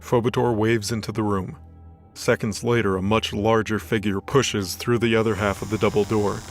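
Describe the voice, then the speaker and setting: Low-voiced male story narrator. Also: little pitch movement, moderate pace. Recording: soft background music bed.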